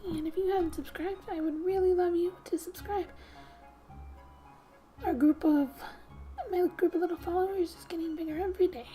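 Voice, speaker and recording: A soft-spoken woman doing ASMR gaming commentary, gently reading story text aloud and often dropping to a whisper, in an unhurried way. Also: extremely high pitch, steady tone. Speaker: video game streamer. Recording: quiet background music. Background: soft music.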